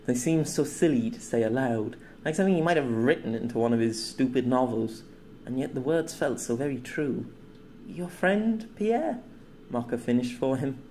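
Man narrating a story, steady. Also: medium pitch, a little hiss or hum. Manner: measured, storytelling. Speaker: audiobook narrator. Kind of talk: scripted narration.

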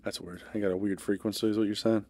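A man's voice: fairly low pitch, flat delivery, fast talker, dark tone. A man streaming a horror game, chatting casually with a friend and muttering to himself with sighs. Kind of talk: casual gaming chat.

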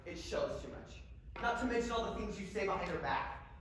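Young guy playing a grieving friend who blames himself, fast and up-and-down, far off in an echoey school theater, crowd noise. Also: high-pitched, expressive, fairly bright tone. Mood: accusing. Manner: pleading, emotional delivery. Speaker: stage actor. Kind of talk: live stage drama. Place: school auditorium stage. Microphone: far audience camera.

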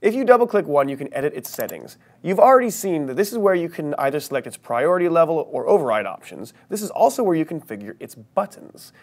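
A man in his thirties narrating a scripted software training tutorial, explaining step by step with emphasis on key terms. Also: a little high, sing-song, fast, few pauses. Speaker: training video presenter.

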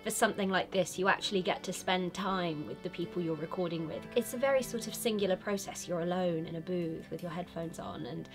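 A woman's voice: on the low side, brisk, few pauses. A young British woman, an actress, chatting casually in an interview. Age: young adult. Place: studio room, indoors. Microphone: lapel mic. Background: music.

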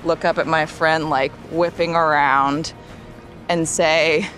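A woman's voice: low-pitched, fairly even intonation, takes it slowly, fairly bright tone. A woman playing a tabletop game, casually describing her character's actions. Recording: music under the voice. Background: music score.

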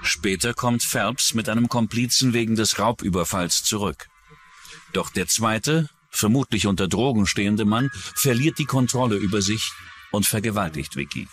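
Low-pitched male true-crime narrator, lively intonation. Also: moderate pace, faint music behind. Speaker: documentary narrator.

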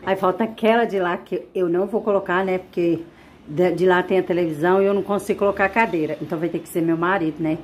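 A woman's voice: fairly low pitch, fairly even intonation, quick pace, a little hiss or hum. A middle-aged woman from Brazil, chatting casually to her viewers while working in a small home kitchen, often tagging her sentences with little questions. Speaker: home vlogger. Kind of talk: casual chat to camera. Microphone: wide camera mounted in kitchen corner.

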